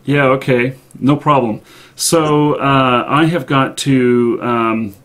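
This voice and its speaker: A man hosting a live stream in an unscripted, chatty way, speaking haltingly with long pauses. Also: medium pitch, fairly even intonation, fairly bright tone. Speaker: live show host.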